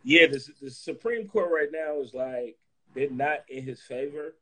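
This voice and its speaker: A man in casual, comedic chat, talking in an animated, slangy, profane joking way. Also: on the high side, measured pace.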